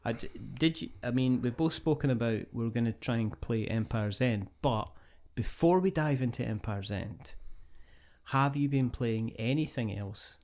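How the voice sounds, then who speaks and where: A man hosting a podcast in casual chat, with a jokey, rambling and animated way of talking. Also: mid-range voice, moderate pace. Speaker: podcast host.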